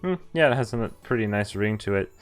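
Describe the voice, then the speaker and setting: A man's voice: on the low side, animated, brisk, clear, resonant tone, faint music behind. A man streaming a visual novel, reading it aloud with casual commentary and some stumbles, amused asides mixed in. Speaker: game streamer.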